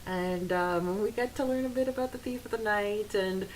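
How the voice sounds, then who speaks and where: A woman's voice: medium pitch, normal speed, low-quality recording. A giggly woman co-hosting a podcast, casually discussing a book and reading out quotes from it. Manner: giggly, often laughing. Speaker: podcast co-host. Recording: hissy background noise.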